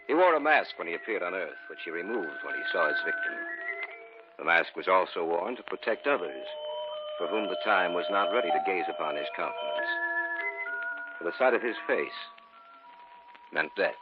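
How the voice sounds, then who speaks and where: An actor playing a grave male friend recounting a sinister legend in measured tones, in an old radio play. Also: low voice, expressive. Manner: measured, ominous storytelling. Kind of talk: 1940s radio acting. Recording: muffled old radio broadcast with music.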